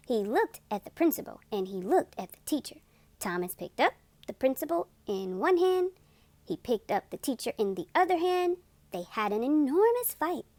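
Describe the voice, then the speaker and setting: Woman narrator for children's stories: emphatic, expressive, lively intonation, close dry recording. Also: high-pitched, no echo. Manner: animated, deliberate, emphatic. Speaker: storytime host and reader.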